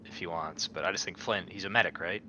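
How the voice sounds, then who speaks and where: A man's voice: low voice, fast talker, sharp tone, slight background noise, rough recording. A man playing a tabletop game, talking through it casually in a blunt, argumentative way.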